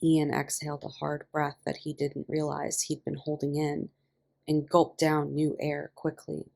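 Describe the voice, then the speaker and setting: A woman reading a story aloud as a podfic narrator, with expressive scripted delivery. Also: low voice, a little slow, gravelly. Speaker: podfic reader.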